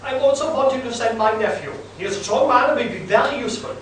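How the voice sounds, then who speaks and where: A man in an amateur radio play playing a shifty foreign schemer who blusters haltingly. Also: extremely high pitch. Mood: insistent. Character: shifty foreign schemer posing as a Welsh villager. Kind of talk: amateur live comic radio play acting. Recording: echoey hall from far off, noisy.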